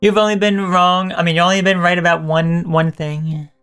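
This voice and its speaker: Hoarse woman streamer signing off. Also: fairly low pitch, little pitch movement, moderate pace, a bit bright, faint music behind. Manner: rambling, self-correcting, teasing chat toward viewers. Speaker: live streamer. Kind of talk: casual live-stream chat and sign-off.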